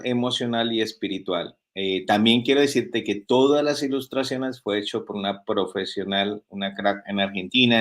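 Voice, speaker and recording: A man, a pastor and author, guesting on a video call interview and speaking in an earnest teaching tone full of rhetorical questions. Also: fairly low pitch. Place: home in Spain.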